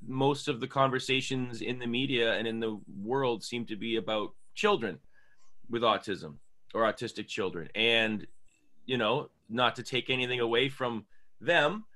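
Casual podcast host, male, Canadian accent, average pitch with a crisp bright edge. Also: moderate pace. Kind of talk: casual conversational podcast hosting with pauses.

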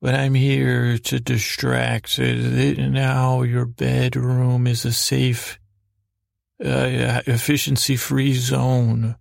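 Gravelly, rambling man hosting a bedtime podcast. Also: medium pitch, completely flat delivery, no echo, clean studio sound. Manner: meandering, halting, repeating half-words; whimsical, mock-serious. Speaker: podcast host. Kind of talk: rambling bedtime monologue to help listeners sleep.

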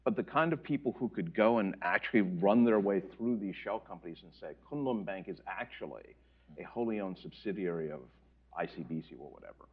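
Male panelist, lowish voice, lively pitch, dull lo-fi recording. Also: fairly quick, breathy edge. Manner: animated, explanatory. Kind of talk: panel discussion. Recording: dull lo-fi audio.

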